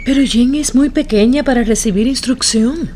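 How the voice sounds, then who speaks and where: An actress playing a fond, composed mother in a Spanish-language radio drama, speaking in a measured, deliberate way. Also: a little high, mellow, close to an everyday voice. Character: fond mother discussing her young daughters, composed. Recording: clearly noisy background with birdsong.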